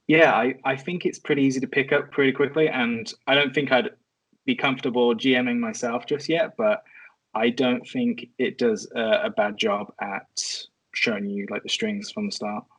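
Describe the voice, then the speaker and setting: Mid-pitched man chatting casually. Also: steady tone, moderate pace, fairly bright tone, rough recording. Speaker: podcast guest. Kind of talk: casual podcast discussion.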